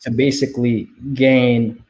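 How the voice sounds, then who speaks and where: A man's voice: mid-range voice, little pitch movement, measured pace, a little mellow. A man speaking earnestly as a guest in a casual panel discussion, often checking in with "right?". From Somalia.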